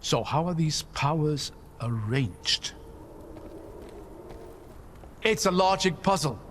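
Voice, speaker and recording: A man's voice: fairly high pitch, animated, measured pace, fairly bright tone, a little hiss or hum. An actor playing a middle-aged, curious and articulate scholar father in a video game, musing in a learned way. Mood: scholarly, focused. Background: game soundtrack music.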